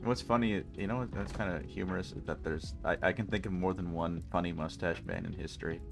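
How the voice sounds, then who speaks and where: A man's voice: low-pitched, steady tone, brisk, vocal fry, music in the background. A man with a stuffy nose chatting casually and rambling jokily with his chat while streaming a game. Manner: rambling, jokey, talks to chat.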